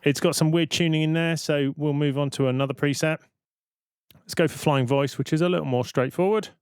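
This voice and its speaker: A man's voice: a little high, steady tone, fairly quick, darkish tone, clear, resonant tone, no room sound. A man presenting a tutorial in an informal, explanatory way, thinking aloud as he goes. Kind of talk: informal software tutorial narration.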